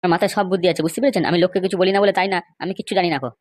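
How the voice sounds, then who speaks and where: A man's voice: sits high, steady tone, very fast, no echo, close to an everyday voice. A man with a West Bengal accent voicing argumentative, indignant men in an amateur comedy cartoon, scolding and insistent. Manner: scolding, insistent, rhetorical questions.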